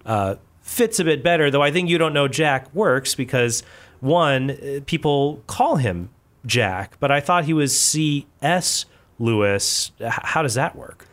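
A man's voice: mid-range voice, lively intonation, unhurried, a bit bright. Curious, chatty male podcast host. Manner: curious, asks questions, chatty. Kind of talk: casual podcast conversation.

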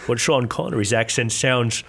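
A man's voice: medium pitch, normal speed, creaky, a bit bright, breathy edge. A man hosting a podcast in casual conversation, asking curious questions and introducing the topic in a chatty way. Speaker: podcast host.

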